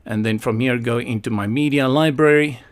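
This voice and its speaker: Male tutorial presenter from South Africa, mid-pitched, casual and playful, thinks aloud and gives frank opinions. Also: moderate pace.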